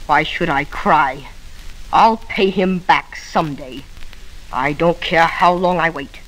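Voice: mid-range voice, slow pace, fairly bright tone, low-quality recording. Sullen, proud young boy. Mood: sullen, intense. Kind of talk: 1940s radio acting.

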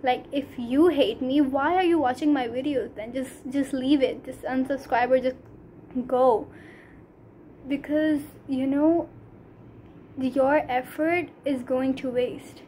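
A teenage girl from Pakistan with a Pakistani English accent, Urdu her first language, talking earnestly to a phone camera in a small room, halting, with many fillers. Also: high-pitched, steady tone, dark tone, slight background noise. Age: teens. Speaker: vlogger. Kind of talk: casual talk to camera. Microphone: phone camera at arm's length.

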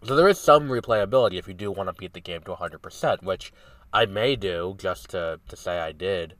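Nasal, congested man with a low voice. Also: brisk, fairly bright tone, no echo, distant mic. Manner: rambling, many ums and restarts. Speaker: podcast host. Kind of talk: casual solo review talk.

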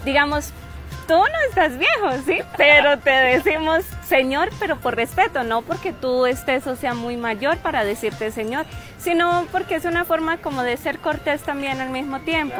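A young woman from Santander, Colombia, with a Santander accent, telling stories in an outdoor street interview with a forceful, emphatic way of talking. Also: high-pitched, expressive, moderate pace, faint music behind. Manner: forceful, emphatic delivery with frequent "¿sí?" tags. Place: outdoor park with trees.

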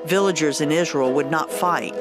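A woman's voice: sits low, normal speed, over loud music, close to an everyday voice. A woman playing a commanding older prophetess in a biblical radio drama. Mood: solemn.

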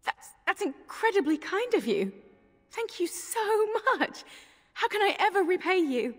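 A woman's voice: very high-pitched, big pitch swings, measured pace, full character voice. A woman playing a humble, grateful young village woman in a video game, speaking hesitantly and earnestly. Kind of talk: video game character dialogue. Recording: long reverb, music underneath.

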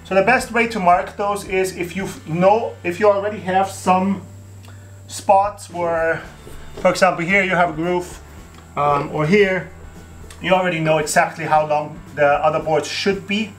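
A man's voice: high-pitched, fairly even intonation, unhurried, faint music behind. A man in his thirties hosting a home renovation tutorial, explaining steps casually with fillers and restarts in an empty wooden attic room.

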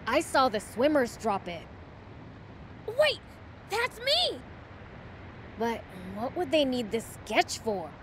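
Casting: young fiend boy in a video game, brash, bouncy pitch, unhurried pace, hot-headed swagger. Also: wildly swooping pitch, slight background noise, exaggerated voice. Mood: puzzled. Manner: brash, boastful.

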